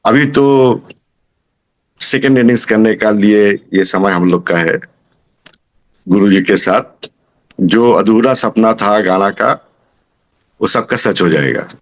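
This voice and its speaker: A man's voice: fairly low pitch, slow, pauses often. A man of about sixty from Kolkata, giving a casual testimonial as a student. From Kolkata, India. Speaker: singing student and businessman.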